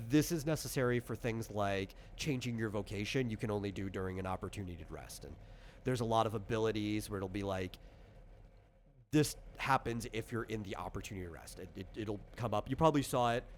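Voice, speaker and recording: A man's voice: on the low side, fairly quick, slight background noise. A man casually explaining tabletop game rules as designer and game master, talking informally with examples.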